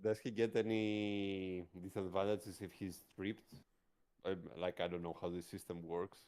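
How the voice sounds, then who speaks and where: A man's voice: deep voice, flat delivery, measured pace, a little mellow, clear, resonant tone. A man chatting casually as a player in a tabletop roleplaying game.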